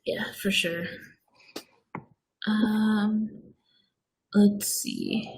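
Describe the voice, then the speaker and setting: A woman's voice: mid-range voice, fairly even intonation, extremely slow, slight background noise, poor audio. A woman co-hosting a book discussion in a casual, conversational way, informal and inclined to prompt her partner. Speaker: book club discussion host. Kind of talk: casual chat.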